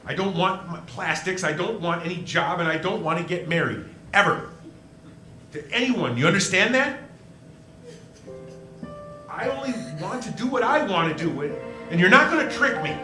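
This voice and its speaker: Impassioned small-town young man, male actor, radio drama, high and lively, roomy live sound. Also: moderate pace, pauses often, soft background music. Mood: angry, defiant. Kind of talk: live 1940s radio play acting. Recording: roomy live stage recording.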